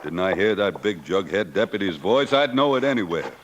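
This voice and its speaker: A man's voice: sits low, lively intonation, a little fast, dull audio. A man playing a crude, blustering but easily cowed bank robber henchman in a radio western. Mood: gloating. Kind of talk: 1950s radio western acting. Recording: very noisy old radio recording.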